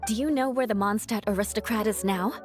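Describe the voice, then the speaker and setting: A woman's voice: mid-range voice, brisk, close to an everyday voice. A woman voicing a confident aristocratic knight in a video game, composed and wry. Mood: cool. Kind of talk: video game character dialogue. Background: music.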